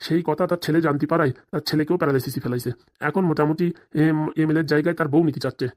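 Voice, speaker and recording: A man's voice: on the high side, fairly even intonation, very fast, a little mellow, lightly acted. A man voicing a greedy, scheming village policeman in a comic cartoon, with conspiratorial, rustic, colloquial speech. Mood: wheedling, secretive.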